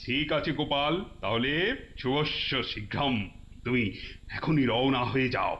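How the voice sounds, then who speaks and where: A man's voice: mid-range voice, a little slow, lo-fi sound, close to an everyday voice. An actor playing a jovial, teasing king in a cartoon, speaking in theatrical, emphatic phrases. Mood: commanding. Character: jovial, teasing adult king holding royal court. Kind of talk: Bengali cartoon voice acting.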